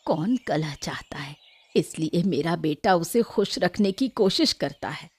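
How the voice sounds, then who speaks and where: A woman's voice: lowish voice, expressive, unhurried, a little mellow, slightly rough, dry recording. A woman playing a meek, long-suffering old mother-in-law who pleads and effaces herself in an acted story. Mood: gentle, stoic. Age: older. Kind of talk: Hindi audio story acting.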